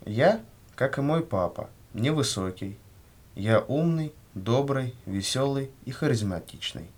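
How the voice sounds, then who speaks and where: A man reading scripted questions and answers for a language lesson in a measured way. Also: medium pitch, expressive, a little mellow, husky. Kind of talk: scripted language-lesson dialogue, read aloud.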